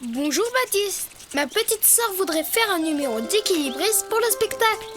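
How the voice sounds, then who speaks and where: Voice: very high-pitched, expressive, moderate pace. An actor voicing an eager, helpful little boy who explains step by step in a preschool educational cartoon. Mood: cheerful. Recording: loud music under the voice.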